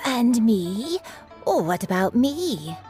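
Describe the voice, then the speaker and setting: An actress playing a gentle, forgetful grandmother in a video game, with a vague, childlike, dreamy manner. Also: a little high, sing-song, drawn-out words, full character voice. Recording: music behind the voice.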